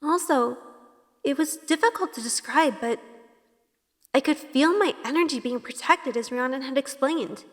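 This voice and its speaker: A woman's voice: sits high, normal speed, long reverb tail, close to an everyday voice. A woman playing a sheltered, earnest college-age good girl in a first-person audio drama. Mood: wary reflective. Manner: earnest, unsure.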